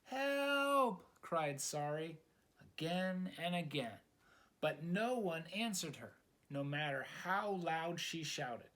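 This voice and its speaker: A man reading a children's story aloud in an expressive, dramatic storytelling style. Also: a little high, slow pace. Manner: dramatic, asks the listener questions.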